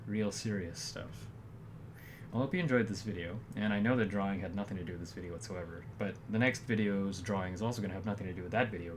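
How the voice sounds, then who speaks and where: A man with a fairly low voice tells a meandering story straight to his viewers, correcting himself often and adding dry jokes. A steady background noise sits under the recording. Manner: rambling, self-correcting, wry deadpan humor. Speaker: vlogger. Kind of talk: casual storytelling monologue.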